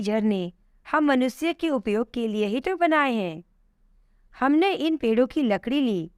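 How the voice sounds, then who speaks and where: A woman's voice: on the high side, clear, resonant tone, no room sound, studio quality. A woman voicing contented, cheerful dwellers of heaven, explaining things in an upbeat storybook manner.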